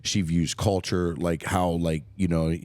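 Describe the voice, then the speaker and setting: A middle-aged man with a very low voice hosts a podcast, talking in an enthusiastic, rambling way and dropping the odd casual swear. The sound is dry and close, as in a small quiet room. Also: level tone, measured pace, dry recording. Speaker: podcast host. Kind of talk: casual interview chat.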